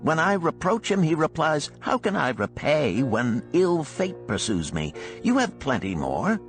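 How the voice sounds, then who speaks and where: A man performs a shrewd, proud older gold lender from an ancient parable. His delivery is raised and very animated, with declamatory, old-fashioned phrasing. Soft music plays underneath. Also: on the high side, unhurried, pitched above the performer's own voice. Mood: firm, confiding. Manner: archaic, emphatic, declamatory.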